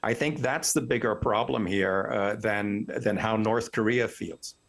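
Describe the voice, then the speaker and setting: A man's voice: fairly low pitch, a little slow, gravelly, fairly bright tone. A man speaking as an academic expert in a news interview, explaining his opinions articulately and analytically. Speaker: professor, expert news guest.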